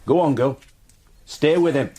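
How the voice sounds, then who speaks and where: An actor playing a gruff, terse but generous country landowner in a radio drama. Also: male voice, fairly high pitch, a little slow, lightly acted. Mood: bluff. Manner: blunt, clipped.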